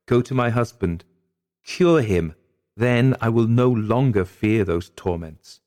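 A man's voice: medium pitch, lively intonation, slow pace, darkish tone, voice pushed up. A man voicing a genteel, anguished wife who pleads in formal, melodramatic period language, as in a dramatic audiobook. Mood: imploring. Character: anguished young gentlewoman, genteel, pleading, dreading a cruel husband.

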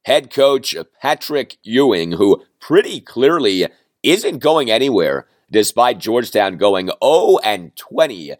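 A man hosting a sports podcast with a hoarse, fading voice from a cold, running through topics in a plainspoken, self-deprecating way. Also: medium pitch, very animated, slow pace, no room sound, clean studio sound. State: hoarse, losing his voice from a cold. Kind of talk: solo sports podcast talk.

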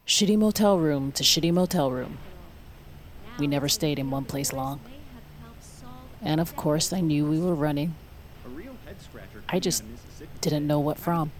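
Young female drama narrator, deep register, weary, reflective first-person delivery. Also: unhurried, long pauses. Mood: weary, brooding. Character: weary young woman narrating her life on the run.

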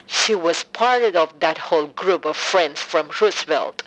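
A woman's voice: fairly low pitch, unhurried, a bit bright. An actress playing a grieving, bitter mother on a phone call in an audio drama, speaking tersely and with suspicion. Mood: bitter, accusing. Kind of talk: full-cast audio drama acting.

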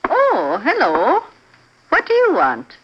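An actress playing a nervous, evasive woman, flustered, halting and pleading, in a 1950s radio drama. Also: high voice, wildly swooping pitch, background noise, exaggerated voice. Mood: defensive. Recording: dull vintage radio recording.

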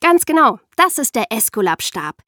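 A woman's voice: fairly high pitch, big pitch swings, normal speed, pushed and bright, no echo, studio quality. A woman presenting a podcast, explaining history in a conversational storytelling style and addressing her listeners directly.

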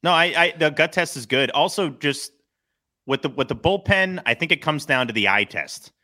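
A man's voice: fairly high pitch, lively intonation, normal speed, pushed and bright. A man hosting a sports podcast, chatting casually with a co-host.

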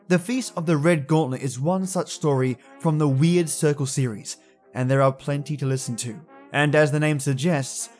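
A man's voice: fairly high pitch, a little mellow. A man hosting a podcast, speaking to listeners in a chatty, friendly way. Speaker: podcast host. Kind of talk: scripted podcast outro. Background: music.

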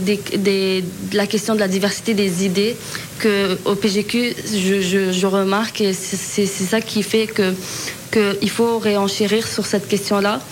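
A woman's voice: mid-range voice, slight background noise, rough recording. A young woman in her twenties answering interview questions earnestly, with many fillers and restarts. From Lebanese heritage. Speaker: student guest.

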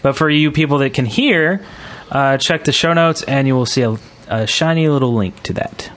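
A man hosts a casual podcast at an ordinary middle pitch. He moves through his segments informally, with a halting delivery full of fillers. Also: a bit bright. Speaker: podcast host.

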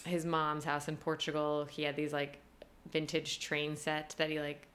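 A woman's voice: low voice, completely flat delivery, moderate pace, a bit bright. A young woman being interviewed as a guest, chatty and casual, with plenty of fillers and frequent laughs. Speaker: costume designer, guest.

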